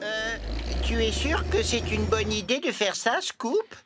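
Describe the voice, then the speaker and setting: A man's voice: high-pitched, expressive, unhurried. A man voicing a cautious, loyal talking truck in a French cartoon, hesitating with little ums. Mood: nervous. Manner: hesitant, stammering ohs and ums. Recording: loud music behind the voice.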